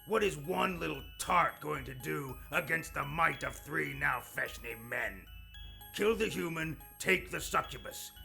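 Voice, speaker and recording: A man playing a pompous, menacing demon lord in an audio drama, commanding and gravelly. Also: a little high, a little slow, sharp tone, faint music behind, exaggerated voice. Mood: contemptuous.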